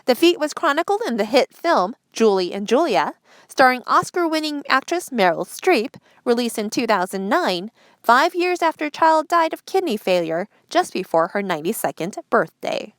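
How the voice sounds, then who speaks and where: A woman's voice: on the high side, big pitch swings, moderate pace, dry recording. A woman hosting a read-aloud news feature, narrating from a script. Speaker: host reading articles aloud.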